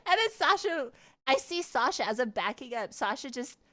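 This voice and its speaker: A high-voiced woman streamer talks casually to her viewers. She is animated and giggly, rambling from one thought to the next as she tells her stories in a conversational livestream style. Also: moderate pace. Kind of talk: casual livestream chat and storytelling.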